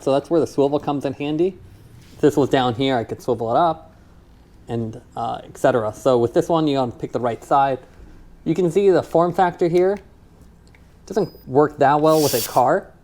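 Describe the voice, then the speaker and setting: A man's voice: mid-range voice, a little mellow, slight background noise. A man giving a casual, plain-spoken product review, explaining and demonstrating features in a matter-of-fact way.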